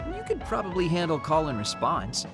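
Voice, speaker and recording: A man voicing an excitable, theatrical young male soldier in an anime game, with an expressive, dramatic delivery. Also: a little high, moderate pace, over music. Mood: fretful, eager. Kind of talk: anime video game dub acting.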